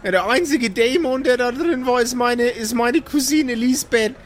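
A tiny old woman, played by a man, very high in pitch and full of nosy small talk. She rambles in a folksy way and circles back over her own phrases. It is an improvised role-play audio drama, with faint noise behind. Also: moderate pace. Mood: chatty, nosy. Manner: folksy, chatty, repeats phrases.

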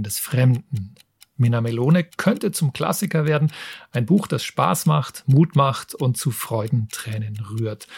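A man's voice: mid-range voice, gravelly, darkish tone, slightly rough, no room sound. A man hosting a book show, presenting reviews in a partly scripted narrating style. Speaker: book podcast host and reviewer.

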